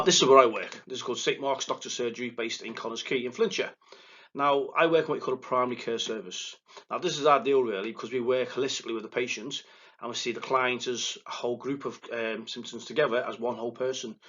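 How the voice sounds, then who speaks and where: Fast-talking Liverpool man, husky voice. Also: medium pitch, lo-fi sound. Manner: fast, excitable delivery with verbal stumbles and restarts. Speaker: psychotherapist giving a recorded lecture. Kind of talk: recorded lecture to counselling students. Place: presenting alone without a live audience.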